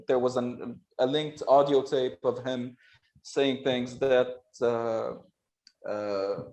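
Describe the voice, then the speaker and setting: A man speaking as a guest expert in a remote interview, analytical but halting, with frequent fillers and restarts. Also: mid-range voice, a little mellow, rough recording. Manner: hesitant, many restarts and fillers, quick laughs. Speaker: guest expert on Iranian affairs.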